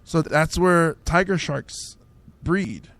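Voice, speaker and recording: A man's voice: on the high side, takes it slowly, vocal fry, fairly bright tone, no echo. A man from Samoa hosting a casual comedy podcast, riffing on the news and laughing at his own bits. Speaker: host, stand-up comedian.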